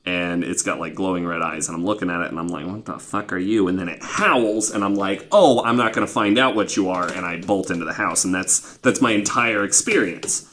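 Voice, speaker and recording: A young man with a fairly low voice hosts a podcast in a loose, conversational storytelling style. He talks informally, swears freely and leans on "like" as he goes. There is a little noise in the recording. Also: brisk, few pauses, a bit bright. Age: 20s. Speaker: podcast host.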